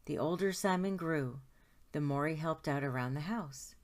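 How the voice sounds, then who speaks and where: A woman narrating a children's picture book aloud in an expressive storytime style, stretching words for emphasis. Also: low-pitched, normal speed. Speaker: storytime host reading aloud.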